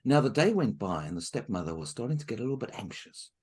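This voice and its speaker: A man's voice: medium pitch, sing-song, fast talker, a little mellow, slightly rough. A man telling a folk tale aloud to a group, with chatty asides to his listeners. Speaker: storyteller.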